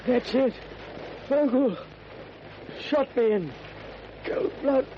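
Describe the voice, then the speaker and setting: An actor playing a frightened, weak crook in an old radio drama, halting and pleading. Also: male voice, very high-pitched, heavily acted. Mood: dying, gasping. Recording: noisy, muffled vintage radio recording.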